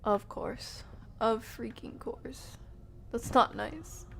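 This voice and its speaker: A woman's voice: on the high side, very slow, a little hiss or hum. A woman giving casual, playful live game commentary in slangy language, often murmuring to herself and slipping into whispers. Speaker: game streamer.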